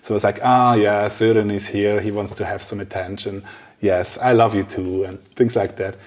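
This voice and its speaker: Low-voiced man, chatting informally. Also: flat delivery, moderate pace, darkish tone. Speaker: podcast interviewer. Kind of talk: casual podcast conversation.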